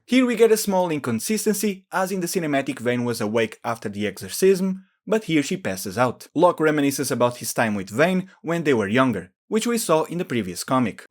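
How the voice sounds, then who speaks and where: A man's voice: fairly high pitch, big pitch swings, a little fast, hardly any pauses. A man giving scripted explainer narration as a video host, in a matter-of-fact, explanatory way.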